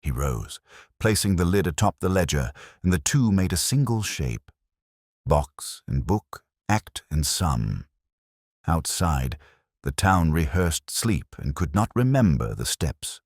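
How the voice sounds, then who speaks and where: A man narrates a literary mystery in an extremely deep voice. His intonation is lively while the storytelling stays deliberate, and the sound is dry and close. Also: dry recording.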